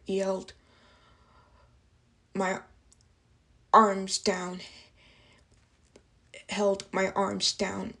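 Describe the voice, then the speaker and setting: A woman playing a traumatized young waitress in a dramatic monologue, speaking haltingly in broken phrases. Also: mid-range voice. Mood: distressed, intense. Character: traumatized young working-class waitress recounting an assault. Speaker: actress performing a film monologue.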